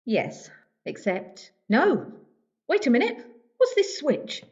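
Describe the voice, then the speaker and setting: Casting: woman playing breezy, coaxing alien traveller, high female voice, glib patter, comic radio drama, roomy sound. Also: wildly swooping pitch, slow pace, a little mellow, exaggerated voice. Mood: flippant, resigned. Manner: glib, persuasive, broken-up patter. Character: quick-witted, breezy female alien hitchhiker, coaxing and flippant. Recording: dull, echoey home recording.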